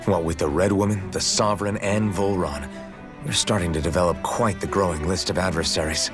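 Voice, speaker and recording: An actor playing a steady, reassuring young hero who leads the group in a video game, calm and sincere. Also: male voice, deep voice, fairly quick, music in the background, close to an everyday voice. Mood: resolute, alert. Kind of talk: dramatic video game voice acting.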